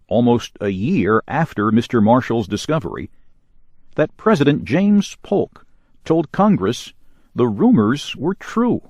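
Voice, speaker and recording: A man reading a scripted news report in a measured, clearly enunciated broadcast style. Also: mid-range voice, darkish tone, no echo, muffled recording. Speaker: news reader.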